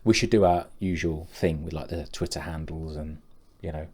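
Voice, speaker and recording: Man, podcast co-host, low voice, teasing, laughing, casual banter. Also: fairly even intonation, moderate pace, darkish tone, slightly rough.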